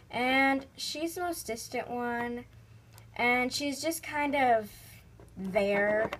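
Casting: female vlog host, slightly high voice, informal and rambling, unhurried, casual narration captured on a phone. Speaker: host of a toy video channel. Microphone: phone held near the speaker. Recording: noisy handheld phone recording with clicks.

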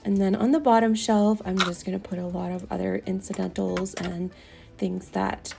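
Chatty woman with a gravelly voice. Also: medium pitch, steady tone, normal speed, over music. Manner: chatty, rambling with many fillers. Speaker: doll artist vlogger. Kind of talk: casual voice-over narration. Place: home craft room.

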